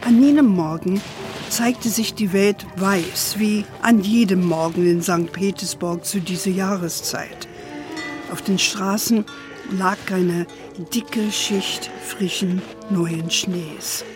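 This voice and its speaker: An actress playing an older, indulgent grandmother telling stories in a children's radio play, with a playful, calm manner. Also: medium pitch, over music, close to an everyday voice. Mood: gentle storytelling. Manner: unhurried, sprinkles English endearments.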